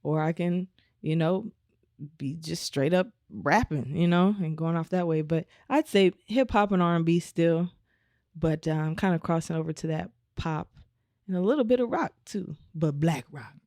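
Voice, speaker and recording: Woman w/ a naturally raspy voice, laid back, lots of "you know", dry close mic sound. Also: on the low side, moderate pace, darkish tone, no room sound. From Omaha, United States. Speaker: guest musician. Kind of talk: casual interview chat.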